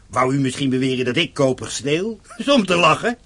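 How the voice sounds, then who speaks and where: An actor playing a sly, defiant poor peasant father in a radio drama, raspy, mocking and resentful. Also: medium pitch, animated, a little fast, a bit bright. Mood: mock innocence. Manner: mocking, resentful, feigning innocence.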